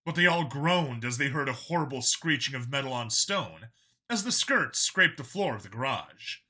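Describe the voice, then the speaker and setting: Bright, forceful male story narrator. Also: mid-range voice, lively intonation, moderate pace, husky. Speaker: audiobook narrator. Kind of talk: scripted dramatic story narration.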